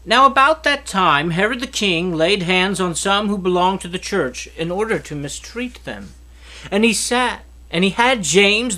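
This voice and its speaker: A man reading scripture aloud as narration, with an expressive, emphatic storytelling delivery. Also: high-pitched. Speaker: Bible reader.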